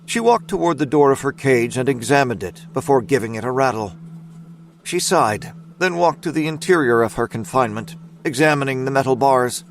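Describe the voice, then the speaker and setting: A man narrating scripted dramatic fiction in a measured storytelling manner. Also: medium pitch, expressive.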